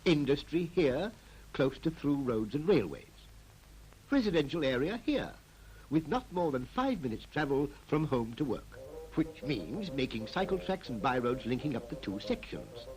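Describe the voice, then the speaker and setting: An actor playing a confident, persuasive town planner in a 1940s animated public information film, speaking in an emphatic, explanatory way. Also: male voice, mid-range voice, moderate pace, rough recording. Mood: brisk, explanatory. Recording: old 1940s film soundtrack, music behind.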